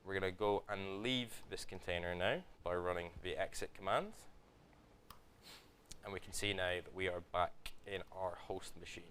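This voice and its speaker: Deep-voiced man teaching live. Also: normal speed, slight background noise. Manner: steady step-by-step explaining with frequent fillers. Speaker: workshop presenter. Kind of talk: live hands-on tech tutorial. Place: office event room with live audience.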